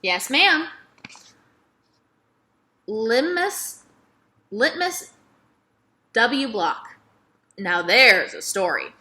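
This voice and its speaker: Lady doing old librarian lady from a kids book, gracious n dramatic, bright voice, very animated. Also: a little high, drawn-out words, pauses often, full character voice. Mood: wistful. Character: chatty elderly Southern lady librarian, gracious, theatrical.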